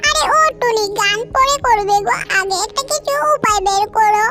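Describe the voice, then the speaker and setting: A woman's voice: very high voice, moderate pace, loud music behind the voice. A woman voicing a frightened little deer in a children's cartoon, pleading urgently and crying out. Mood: terrified pleading.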